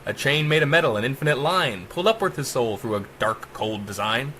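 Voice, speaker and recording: A man narrating a dark rhyming story as a dramatic audiobook, with theatrical, emphatic rhythm. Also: medium pitch, animated, a little fast, a little hiss or hum.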